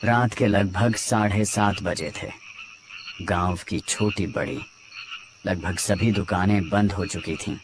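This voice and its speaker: A man's voice: deep voice, steady tone, takes it slowly. A man giving scripted narration for a horror story. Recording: clear background night ambience noise.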